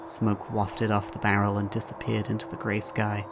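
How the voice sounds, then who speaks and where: A man's voice: fairly low pitch, monotone, moderate pace, background noise. A man reading scripted narration for an audio drama as its narrator.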